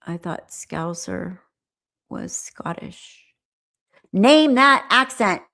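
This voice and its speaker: A woman from the United States with a General American accent, hosting a livestream and chatting in a rambling, animated way. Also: medium pitch, very slow, gravelly. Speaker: livestream host. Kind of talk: casual livestream chat.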